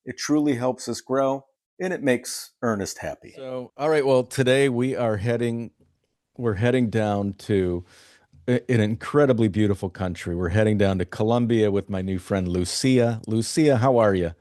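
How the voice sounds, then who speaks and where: A man's voice: mid-range voice, darkish tone, no echo. Effusive male host, dry close sound. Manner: effusive, quick to praise. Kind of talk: video-call interview and home tour.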